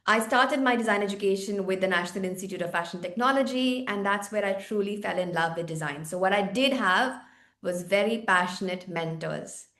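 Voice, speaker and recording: An articulate woman in her forties, from India, talks with bright enthusiasm as a seminar panelist. Her voice sits at a middle pitch. The video call audio carries a noticeably roomy echo. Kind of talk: online seminar panel talk.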